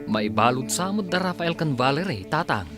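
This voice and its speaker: An actor playing a concerned, gossipy villager in an Ilocano radio drama, talking in an animated, conversational way. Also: male voice, on the high side, brisk, over loud music.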